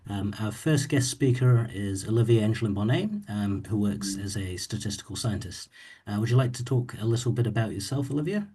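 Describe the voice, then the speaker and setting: A man's voice: lowish voice, fairly quick, mellow, husky. A man, hosting a webinar in an easygoing way with many ums. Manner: easygoing, with frequent ums and uhs. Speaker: webinar host, moderator. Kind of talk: moderating a webinar.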